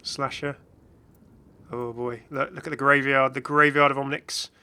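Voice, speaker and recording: A man's voice: mid-range voice, flat delivery, unhurried, bright, forceful tone, smooth. A man giving casual, chatty commentary as host of a gaming video. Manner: chatty, frequently chuckling.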